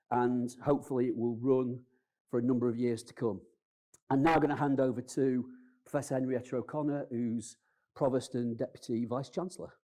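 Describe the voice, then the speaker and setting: Middle-aged male professor, loud and chatty, warm low tone, opening a lecture. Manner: loud voice, self-aware and chatty. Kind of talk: welcoming remarks at a public lecture. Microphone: mic'd up.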